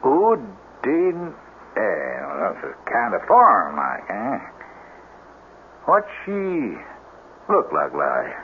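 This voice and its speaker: Folksy Southern storekeeper, lively swooping voice. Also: male voice, lowish voice, very slow, pauses often, full character voice. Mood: prying, concerned. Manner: folksy, hesitant drawling banter. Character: folksy old country storekeeper, friendly but firm. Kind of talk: radio drama acting. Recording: tinny, hissy old radio broadcast recording.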